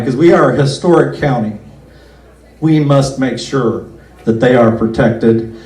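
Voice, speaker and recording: A man who is a county commissioner giving a folksy, earnest campaign speech at a picnic, slowing down to stress his points. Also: medium pitch, a little mellow. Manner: folksy, earnest, with slow emphatic stretches. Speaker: county commissioner running for reelection. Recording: roomy, distant PA-style recording.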